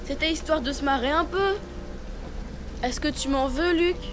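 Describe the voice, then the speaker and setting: A woman's voice: high-pitched, normal speed, background noise, lightly acted. A woman playing a cheeky, bickering young elephant child in a children's cartoon, with a childlike, teasing delivery. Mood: sheepish. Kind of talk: dubbed children's cartoon acting.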